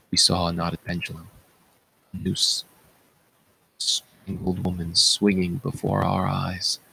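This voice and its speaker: A man voicing a solemn palace attendant who recounts horrors in a declamatory stage-tragedy reading, with dramatic pauses. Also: low voice, gravelly, a bit bright, husky, exaggerated voice, rough recording.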